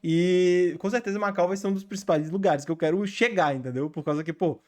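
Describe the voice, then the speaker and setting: A young Brazilian man hosting a reaction video, chatting casually and excitably with frequent filler tags. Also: high voice, fairly quick. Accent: Brazilian Portuguese.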